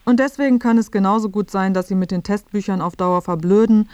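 A woman's voice: mid-range voice, fairly quick, darkish tone, dry recording. A woman reading scripted narration for a radio feature. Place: dry studio.

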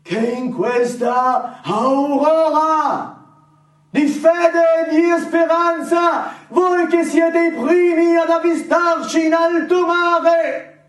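A man with a Sicilian accent declaiming a theatrical monologue loudly and emphatically, as a solo stage storyteller. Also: very high-pitched, fairly even intonation, extremely slow. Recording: roomy echoing stage, distant microphone.

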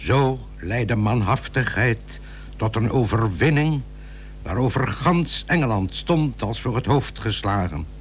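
A man playing a solemn chronicler, narrating a historical radio drama deliberately from a script. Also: medium pitch, lively intonation, close to an everyday voice. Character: solemn chronicler of old naval battles. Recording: old radio recording with faint hum.

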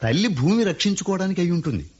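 A scheming landowner who plots persuasively. His voice is husky and rises and falls widely. The sound is muffled, like an old broadcast. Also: high voice, big pitch swings, quick pace, a little mellow, heavily acted. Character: scheming older landowner plotting over family land. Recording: dull lo-fi radio recording.

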